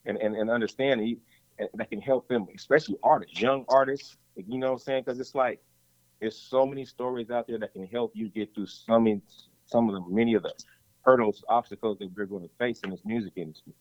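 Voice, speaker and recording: A man's voice: mid-range voice, fairly even intonation, brisk, no echo. A man, a rapper, talking casually in an interview, rambling and often repeating "you know what I'm saying.". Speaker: rapper guest. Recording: dull lo-fi dry sound.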